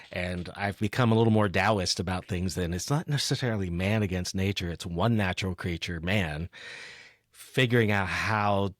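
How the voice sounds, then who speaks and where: Low-voiced man, podcast host. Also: dry recording, studio quality. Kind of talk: casual conversational interview.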